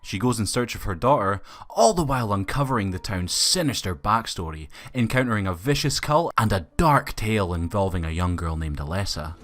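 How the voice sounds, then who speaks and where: A man's voice: fairly low pitch, expressive, brisk, few pauses, fairly bright tone. A man reading a scripted film review as a video reviewer, with opinionated, colloquial phrasing.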